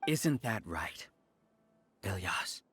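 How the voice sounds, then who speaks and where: A lilting, theatrical scholarly villain. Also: male voice, low voice, very animated, drawn-out words, noisy background, full character voice. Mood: lecturing, self-justifying. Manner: theatrical, polite, lecturing. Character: smooth-talking scholarly male villain, calm and self-justifying. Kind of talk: video game voice acting.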